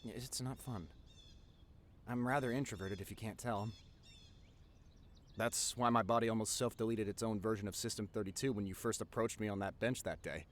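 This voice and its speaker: A man's voice: on the low side, fairly even intonation, moderate pace, pauses often, natural voice. Man acting as nerdy young boyfriend over background noise. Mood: sheepish, nervous. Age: young adult. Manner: nervous stammering, earnest, rambling. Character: nerdy introverted young boyfriend, wacky, tender, passionate artist. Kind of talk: intimate boyfriend audio roleplay.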